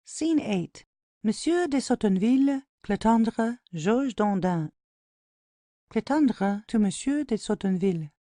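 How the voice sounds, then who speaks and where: A woman's voice: fairly high pitch, expressive, takes it slowly, dark tone, dry recording, studio quality. A woman narrator reading stage directions as scripted narration.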